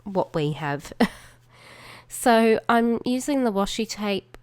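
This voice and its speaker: Slow, chatty Australian woman with a snuffly cold. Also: mid-range voice, clean tone, no room sound. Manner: chatty, relaxed, many fillers and trailing asides. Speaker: crafting channel host. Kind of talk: casual crafting voiceover.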